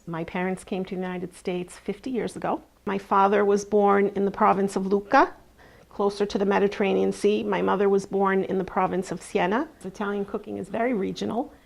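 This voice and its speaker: A middle-aged woman explains things in an interview in a matter-of-fact way. Her voice has a middle pitch and is gravelly. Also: flat delivery, brisk. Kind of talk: casual interview.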